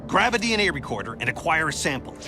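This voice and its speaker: An actor playing an impatient male scientist hero in a video game, barking brisk orders over the radio. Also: a little high, very animated. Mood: commanding. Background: loud game music.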